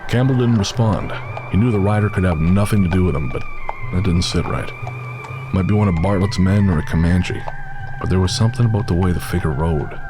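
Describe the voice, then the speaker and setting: A man's voice: deep voice, a little fast, close to an everyday voice. A man giving scripted narration as the storyteller of a western horror audio drama. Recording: music bed behind the voice.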